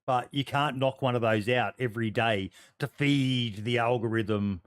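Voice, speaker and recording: A man's voice: medium pitch, normal speed, clean tone, no echo, clean studio sound. A man hosting a casual podcast, asking curious questions of his guest.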